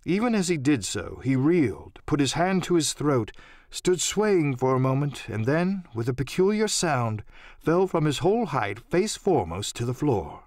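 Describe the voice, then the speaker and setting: A man narrating an audiobook as a dramatic storyteller, theatrical and expressive in his delivery. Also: on the high side, normal speed, dry recording, studio quality.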